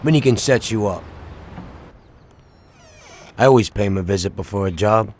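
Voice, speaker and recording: An actor playing a chatty, cocky working-class 1930s American gangster in a video game. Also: male voice, lowish voice, moderate pace, a little mellow. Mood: matter-of-fact, casual.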